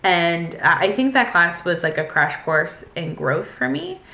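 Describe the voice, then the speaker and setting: A woman's voice: lowish voice, animated, measured pace, gravelly, bright, forceful tone. A woman in her twenties from the United States, telling a personal story in a candid, rambling way with many filler words. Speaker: vlogger. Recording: echoey room.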